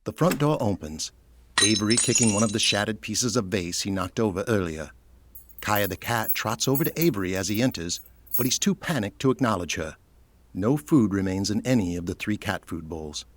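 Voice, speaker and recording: A man's voice: fairly low pitch, expressive, normal speed, dry recording. A man narrating a scripted audio drama, reading out the action as a storyteller. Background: music.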